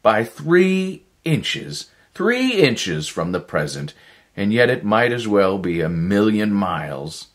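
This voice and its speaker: A man voicing an urgent, desperate male scientist in a sci-fi story, exclaiming forcefully. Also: on the low side, very animated, takes it slowly, voice pushed up. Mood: anguished.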